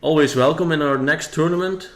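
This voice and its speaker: Flemish male sidekick caster, low-key and brief, native Dutch, gravelly, roomy sound. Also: fairly quick. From Belgium. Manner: quiet, brief agreeing remarks. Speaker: stream co-commentator. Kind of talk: casual commentary. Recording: roomy echo.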